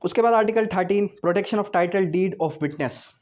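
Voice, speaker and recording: A man's voice: high-pitched, measured pace, a little mellow. A man giving an explanatory law lecture, didactic, posing questions and answering them himself, switching between read-out clauses and explanation. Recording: dull lo-fi sound.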